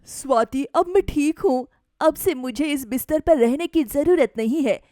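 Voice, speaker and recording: A kind village mother, voiced by a woman narrator in a cartoon moral tale. She is gentle and practical, with a fairly high voice recorded dry and close. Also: a little slow, dry recording. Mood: relieved. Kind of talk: animated moral story dialogue.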